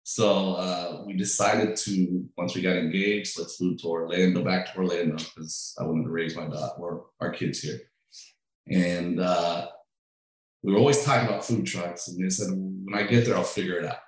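A man from Peru, a chef who runs a food truck, answering interview questions in a relaxed, chatty way, often restarting his sentences. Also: low voice, normal speed. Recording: very echoey room.

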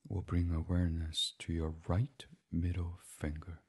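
A man leading a gentle guided sleep meditation in a soothing, hushed, breathy voice that drifts toward whispering. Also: deep voice, completely flat delivery, unhurried.

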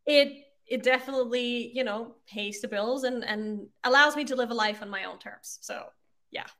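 A woman in her thirties from Austria, a native German speaker, talking through her career in a casual interview with relaxed, reflective speech. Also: on the high side, moderate pace. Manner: relaxed, reflective, uses "like" and "you know" often. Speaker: podcast guest, agency founder.

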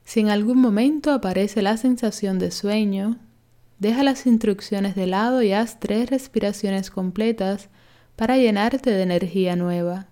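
A woman leading a guided relaxation meditation as a yoga instructor, calm and soothing, giving gentle instructions. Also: mid-range voice, a little slow.

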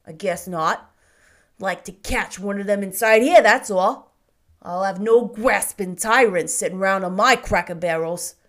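Woman doing a gruff, scornful old shopkeeper. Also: medium pitch, expressive, takes it slowly. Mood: indignant. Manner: loud, grumbling, scornful. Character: gruff indignant middle-aged male shopkeeper.

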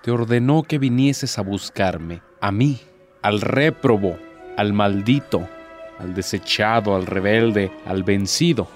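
A man's voice: lowish voice, takes it slowly. Guy doing a bitter proud devil in a radio play, sarcastic n theatrical, bit of music behind. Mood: incredulous, bitter. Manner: theatrical, rhetorical, sardonic.

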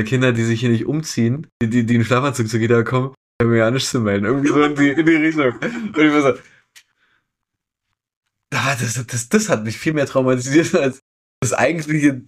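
A young man co-hosting a podcast, chatting and telling personal stories in a blunt, colloquial way. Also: mid-range voice. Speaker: podcast co-host. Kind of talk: casual chat, personal storytelling.

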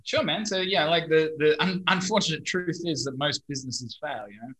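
A man's voice: a little high, fairly quick, sharp tone. An Australian man in his thirties, a guest telling stories in a casual interview. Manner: chatty, storytelling, mild swearing. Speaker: guest, digital marketing company owner.

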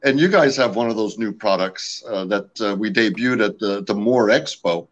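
A man's voice: lowish voice, normal speed. Rambling man full of "uh"s, lo-fi. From California. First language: French. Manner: rambling, many fillers and restarts. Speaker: company representative. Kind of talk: casual interview.

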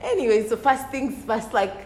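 A young woman from Uganda hosting a casual, tipsy chat with friends, animated and giggly in slangy English. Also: a little high, normal speed. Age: young adult. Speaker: podcast host. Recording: roomy echo, faint background noise.

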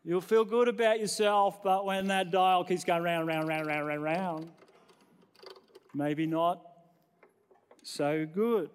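Man, Australian accent, very high-pitched voice, pastor giving a sermon, conversational, repetitive phrasing, big reverberant hall. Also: fairly even intonation, takes it slowly, pauses often, clean tone. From New South Wales, Australia. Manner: conversational, self-deprecating, repeats phrases in lists. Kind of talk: sermon to a congregation. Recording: long reverberant hall sound.

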